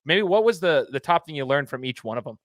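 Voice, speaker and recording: A man from Idaho hosting a casual interview, chatty and animated, asking long winding questions. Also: a little high, fast, no room sound, studio quality. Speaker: podcast host.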